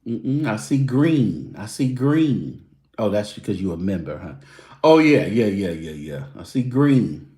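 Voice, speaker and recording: A man's voice: on the low side, very animated, measured pace, dark tone, reverberant. A man hosting his own show, chatting loosely and casually with his viewers. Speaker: show host. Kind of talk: casual livestream chat.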